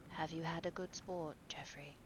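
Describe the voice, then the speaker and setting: A woman voicing a troubled young gentleman who speaks in a soft, bitter near-whisper. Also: on the low side, measured pace, a little hiss or hum, natural voice, rough recording. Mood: pleading. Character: young gentleman, haunted and remorseful.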